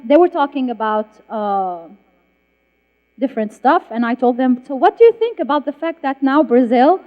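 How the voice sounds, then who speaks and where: A woman, an author telling a story on a festival panel with emphatic restarts. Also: sits high. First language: Arabic. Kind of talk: public panel talk with audience. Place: festival hall stage. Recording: dull, echoey hall sound.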